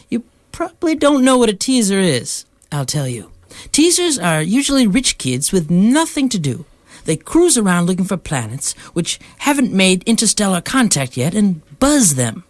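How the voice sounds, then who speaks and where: A woman plays a seasoned galactic hitchhiker in a radio comedy. The delivery is breezy and matter-of-fact, with lively pitch movement in a middle register. The sound is close and dry. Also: normal speed, no room sound, close to an everyday voice. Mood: breezy, explaining. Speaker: radio drama actor.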